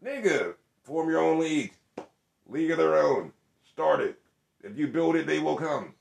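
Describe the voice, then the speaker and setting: A man co-hosting a casual chat, brash and joking with crude banter, who calls his voice monotone. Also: on the high side, moderate pace, creaky, echoey room. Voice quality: monotone delivery. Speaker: podcast co-host.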